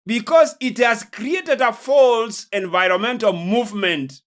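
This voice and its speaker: A man preaching in a lecture style, deliberate and emphatic, pausing and repeating phrases for weight. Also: very high-pitched, a bit bright. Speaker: preacher, host of a religious programme. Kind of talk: sermon-like lecture on prophecy and world events.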